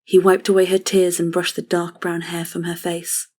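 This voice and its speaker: Low, even-toned woman narrating a story. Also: moderate pace.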